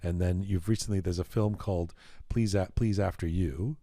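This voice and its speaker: A man's voice: deep voice, steady tone, normal speed, dark tone, dry recording. A male host asking curious, probing questions in a studio interview.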